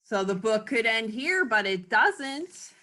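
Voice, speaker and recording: Unhurried woman reading a children's story aloud. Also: fairly high pitch, big pitch swings, sharp tone. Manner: unhurried, explanatory, chuckling asides. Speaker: author reading own book aloud. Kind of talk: children's read-aloud with casual asides. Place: at home.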